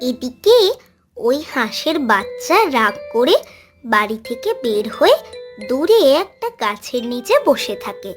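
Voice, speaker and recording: A woman serves as narrator of a children's cartoon tale. She reads in a scripted storybook style at an unhurried pace. Faint music plays behind her. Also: a little high, big pitch swings.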